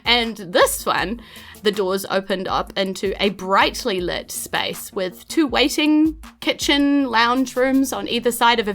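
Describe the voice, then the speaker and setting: A woman's voice: mid-range voice, a bit bright. A woman with a New Zealand accent narrating a tabletop role-playing podcast as game master, with playful, theatrical storytelling.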